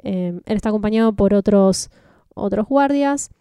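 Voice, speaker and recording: A woman with an Argentine Spanish accent, co-hosting a casual podcast and explaining things in a relaxed way. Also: mid-range voice, moderate pace, clean tone, no echo, clean studio sound. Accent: Rioplatense Spanish. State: slight cough. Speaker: podcast co-host.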